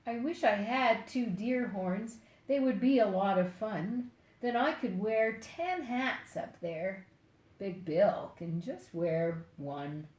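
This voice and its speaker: An older woman reading a children's book aloud expressively and deliberately, playfully speaking straight to young listeners. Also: medium pitch, a little mellow, roomy sound. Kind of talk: expressive picture-book read-aloud with asides.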